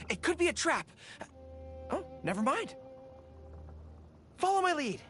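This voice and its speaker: A woman's voice: high-pitched, sharp tone, full character voice. An actress playing a spirited young female army commander in a video game, earnest and resolute, speaking in short commanding lines. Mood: casual, chagrined. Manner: rallying, commanding, short barked orders.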